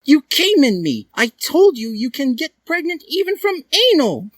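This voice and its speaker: A man's voice: very high-pitched, big pitch swings, moderate pace, no room sound, clean studio sound, voice pushed up. A man voicing a dramatic, accusing teenage girl in an overwrought whine for a story reading.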